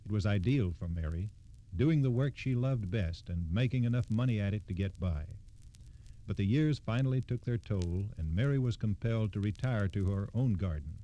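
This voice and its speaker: An American man tells a scripted story in the old-time radio manner. The voice is fairly low, with an extremely dark and soft-edged tone. His delivery stays formal and factual. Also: lively intonation, normal speed, low-quality recording.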